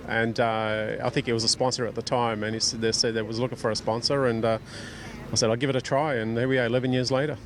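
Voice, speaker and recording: Halting man over faint outdoor noise. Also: mid-range voice, little pitch movement. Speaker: sponsor being interviewed. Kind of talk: casual interview answers.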